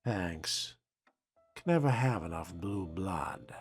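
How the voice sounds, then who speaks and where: A man playing a cool young vampire fledgling in video game dialogue, speaking in a measured, deadpan, businesslike way. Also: sits low, husky, soft background music, full character voice. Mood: dry, wary.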